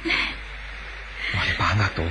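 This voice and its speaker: An actor playing an earnest, brooding young lover in a 1970s radio drama, solemn and poetic, with a Hong Kong Cantonese accent. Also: male voice, moderate pace, noisy background, close to an everyday voice. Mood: tender, hopeful. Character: earnest young romantic man, idealistic and brooding.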